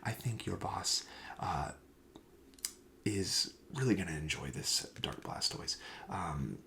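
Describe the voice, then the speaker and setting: A man speaking softly and breathily, often near a whisper, gently explaining wares as a shop clerk in an ASMR roleplay. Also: deep voice, expressive, slow, gravelly. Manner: gentle, explanatory sales patter. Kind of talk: soft-spoken ASMR roleplay.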